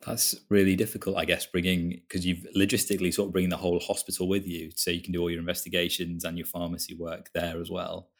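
A man interviewing a doctor, asking measured questions with hedging phrasing like "sort of". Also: low voice, completely flat delivery.

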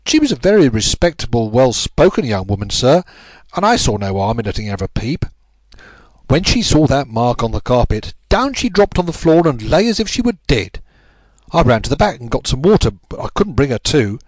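A man's voice: medium pitch, big pitch swings, brisk, no echo. A man voicing a big, penitent police constable in an audiobook, nervous, chatty, deferential and apologetic. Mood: explaining, sheepish. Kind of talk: audiobook character voice.

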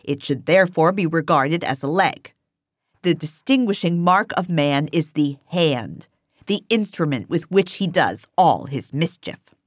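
Pedantic pig leader read by a woman: declaratory, deliberate storybook lecturing, dry sound. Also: low voice, expressive, no echo. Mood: didactic, assured. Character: clever male pig orator, pedantic revolutionary leader. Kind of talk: audiobook character voice.

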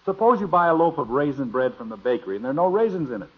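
A man's voice: a little high, big pitch swings, racing, slightly rough, narrow, tinny audio. An American actor playing a stubborn, teasing middle-aged father in a 1950s radio comedy, blustery and emphatic, on an old broadcast recording. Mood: exasperated.

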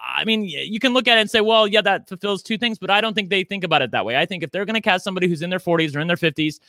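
A man's voice: high-pitched, racing, bright, forceful tone, no room sound. A man in his forties co-hosting a podcast, talking in a chatty, campy, self-deprecating way. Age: 40s. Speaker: podcast co-host. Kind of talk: casual chat.

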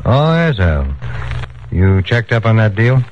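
An actor playing a genial but businesslike Chicago gang boss in a 1940s radio crime drama. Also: male voice, fairly low pitch, very animated, unhurried. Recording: muffled, very noisy 1940s radio recording.

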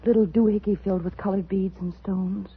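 Casting: clinging, anxious middle-aged wife, 1950s radio thriller. Intense, dramatic delivery, thin phone-like vintage sound. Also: female voice, mid-range voice, steady tone, a little fast, slightly rough. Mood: tense, wistful. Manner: dramatic, intense delivery, swinging from hushed narration to pleading. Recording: tinny, noisy 1950s radio recording.

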